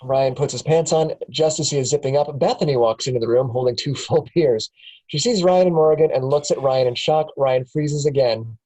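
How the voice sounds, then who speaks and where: Mellow woman reading stage directions. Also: very deep voice, normal speed, low-quality recording. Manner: laughs often while reading. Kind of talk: table read narration.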